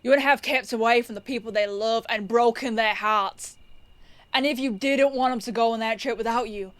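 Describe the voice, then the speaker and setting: Woman playing a female police lieutenant, slightly high voice, dry close sound, measured, knowing, firm but kind, audio roleplay. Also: no echo, lightly acted. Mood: stern. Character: composed female police lieutenant detective, firm yet kindly.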